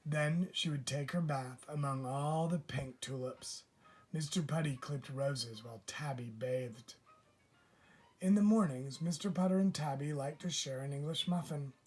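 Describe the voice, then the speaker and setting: A man reading a children's picture book aloud as a teacher would, with measured, deliberate phrasing. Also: a little high, soft background music. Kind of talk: children's storybook read-aloud.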